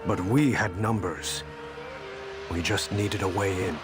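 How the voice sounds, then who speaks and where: An actor playing a grim, resolute imprisoned samurai champion in a cinematic video game. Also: male voice, sits low, a little slow. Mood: grave, reflective. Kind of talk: cinematic game narration and dialogue. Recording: loud music behind the voice.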